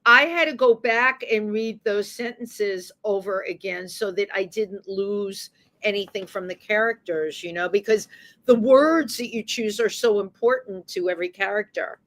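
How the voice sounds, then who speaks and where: Bright-voiced New woman hosting. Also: mid-range voice, normal speed. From the Bronx, New York. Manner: chatty, rambling, with restarts. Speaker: radio talk show host. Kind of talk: radio interview.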